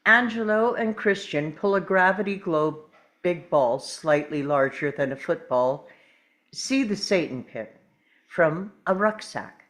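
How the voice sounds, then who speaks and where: Slow, measured woman reading stage directions. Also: lowish voice, expressive, fairly bright tone. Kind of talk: scripted narration at a table read.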